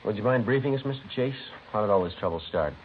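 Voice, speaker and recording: An actor playing a stoic police sergeant with an American accent, deadpan and businesslike, in a 1950s radio crime drama. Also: male voice, fairly low pitch, fast talker, lightly acted. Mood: casual, inquiring. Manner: deadpan, clipped. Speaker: actor, narrator and lead. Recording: muffled, noisy vintage radio recording.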